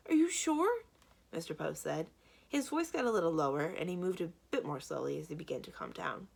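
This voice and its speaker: Expressive, lower-voiced woman reading a story. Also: brisk, vocal fry. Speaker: library storyteller. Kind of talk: children's book read-aloud, expressive narration.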